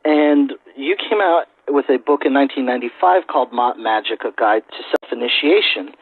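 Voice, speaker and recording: A man speaks as the host of an interview podcast. His voice sits at a medium pitch and his phrasing is measured, with small hesitations. The sound is dry, with no room to it. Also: expressive, husky, no echo, narrow, tinny audio. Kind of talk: casual interview talk.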